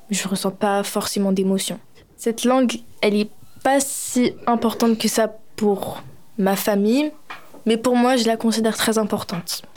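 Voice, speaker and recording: A woman's voice: mid-range voice, a little mellow. A young woman from France giving a personal testimony in an unscripted way.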